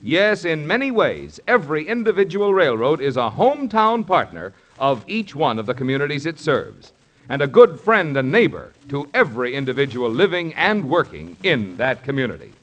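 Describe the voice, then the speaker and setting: A man's voice: a little high, big pitch swings, moderate pace, fairly bright tone. A man reading a scripted 1950s radio sponsor announcement in a formal, polished salesman's manner. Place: radio studio. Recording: vintage 1950s radio broadcast.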